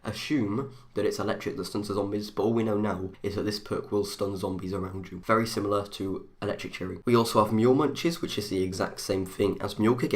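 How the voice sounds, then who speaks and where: Young guy, stuffy nose, talks super fast and rambly, kinda low voice. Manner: fast, rambling, thinks aloud. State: bunged up with a runny nose. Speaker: gaming video host. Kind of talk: rushed gaming commentary.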